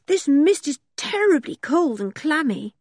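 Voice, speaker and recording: A woman's voice: sits high, big pitch swings, unhurried, a little mellow, no echo, clean studio sound, voice pushed up. A woman voicing a headstrong young girl, fiercely devoted to her dog, with urgent, exclaiming delivery in a storybook reading. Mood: relieved, chilly. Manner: urgent exclamations and demanding questions.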